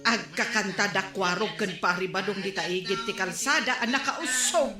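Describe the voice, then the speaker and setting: A woman's voice: mid-range voice, moderate pace, reverberant, a crowd in the background, natural voice. A woman playing a chatty village neighbor in a comedy radio drama, speaking with an Ilocano accent.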